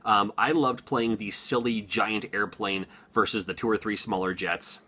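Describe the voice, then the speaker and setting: A man's voice: lowish voice, fairly even intonation, normal speed, pushed and bright, breathy edge. A man in his forties rambling casually to his viewers, chatty with lots of ums and little chuckles. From Washington, USA. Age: 40s. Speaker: gaming YouTuber. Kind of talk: casual unscripted monologue to camera.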